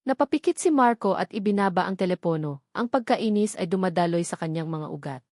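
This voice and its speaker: A woman's voice: fairly low pitch, animated, fairly quick, a little mellow, no echo, studio quality. A woman with a Filipino accent narrating a scripted romantic drama as a storyteller.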